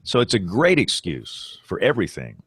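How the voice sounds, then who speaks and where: A man's voice: on the low side, wildly swooping pitch, moderate pace, slightly rough, dry recording, clean studio sound. A man in his sixties hosting a casual panel chat, joking and teasing, often repeating his words. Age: 60s. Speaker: show host.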